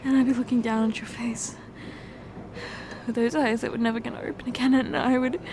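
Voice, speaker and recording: A woman voicing a distraught young fiancée in an audio roleplay, talking haltingly with stammers and repeated words in a shaky, tearful voice. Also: fairly high pitch, flat delivery, a crowd in the background, lightly acted. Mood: grief-stricken. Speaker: ASMR roleplay performer.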